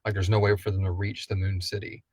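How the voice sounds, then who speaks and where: A man's voice: sits low, flat delivery, fast talker, darkish tone, slightly rough, no room sound, studio quality. A man hosting a casual question-and-answer chat, rambling and thinking aloud as he speculates. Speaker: host of a live audio drama Q&A.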